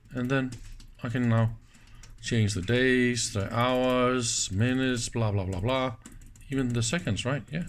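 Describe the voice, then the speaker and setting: A man presenting a casual hands-on demonstration, speaking in halting pieces and often checking in with short questions. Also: medium pitch, slight background noise. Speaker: presenter of electronics teardown videos.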